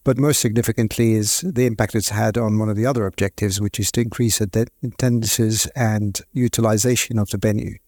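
A man speaking as a league executive on a conference panel, in a measured, businesslike way. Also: on the low side, fairly even intonation, a little mellow, dry recording, clean studio sound. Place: conference stage.

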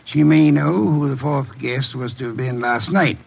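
An American actor playing a shrewd, gruff detective boss in classic radio drama style, deliberate and imperious, with a gravelly voice. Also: male voice, mid-range voice, dull audio. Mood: brusque, calculating.

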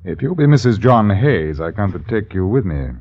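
An actor playing a stern sheriff of old London Town in a radio drama, questioning in formal, archaic phrases. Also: male voice, fairly low pitch, sing-song, moderate pace. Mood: stern, probing. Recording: dull, noisy vintage radio recording.

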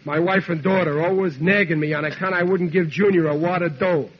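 Blustery working-class American dad, dim and stubborn, highish voice, old muffled radio sitcom sound. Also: male voice, fairly even intonation, fairly quick, breathy edge. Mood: sheepish grumbling. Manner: grumbling, indignant, ungrammatical working-man talk. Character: blustery, stubborn, slow-witted working-class family father. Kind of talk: 1940s American radio comedy acting. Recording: dull vintage radio recording, hissy.

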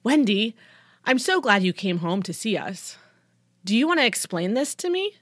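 A woman voicing an angry, sarcastic middle-aged father in an audiobook, loud and domineering as he scolds his teenager. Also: medium pitch, very animated, normal speed, no room sound, clean studio sound, voice pushed up. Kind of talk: audiobook character dialogue.

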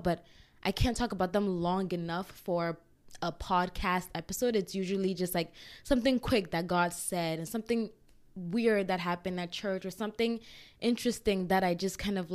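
A young woman hosting her own podcast, talking in a chatty, excitable way with lots of fillers. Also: lowish voice. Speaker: podcast host. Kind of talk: casual solo chat.

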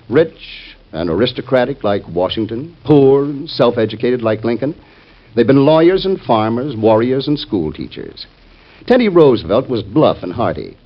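A man's voice: mid-range voice, sing-song, moderate pace. A man with an American accent giving a scripted, folksy, rhetorical radio introduction as an old-time announcer. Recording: dull old radio transcription recording.

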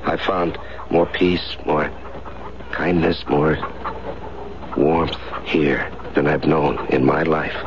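An actor playing a weary, gentle man on the run in a 1970s radio drama, speaking politely and with some hesitation. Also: rumbling low, little pitch movement, slow, creaky, pauses often, full character voice. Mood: weary, earnest. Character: weary, gentle, honest fugitive husband. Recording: dull, noisy 1970s radio recording.

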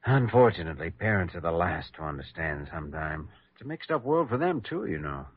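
An American actor playing a patient, quietly firm young hospital doctor in classic radio style, measured and sometimes hesitant. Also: male voice, deep voice, big pitch swings, husky, full character voice. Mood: gentle. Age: young adult. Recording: muffled old radio recording.

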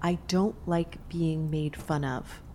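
A woman's voice: sits low, fairly even intonation, slow pace, mellow, a little hiss or hum. An actress playing a secretly murderous young woman who feigns innocence among old friends in an audio drama. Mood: cold, menacing.

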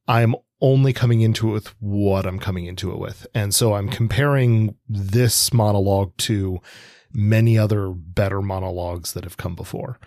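A man co-hosting a podcast, discussing literature in a measured, analytical, conversational way. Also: fairly low pitch, no echo, clean studio sound. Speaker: podcast co-host.

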